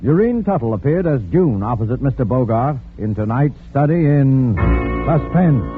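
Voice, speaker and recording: A man's voice: mid-range voice, animated, normal speed, soft background music. A man announcing formally in the style of 1940s radio. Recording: noisy, phone-like old radio recording.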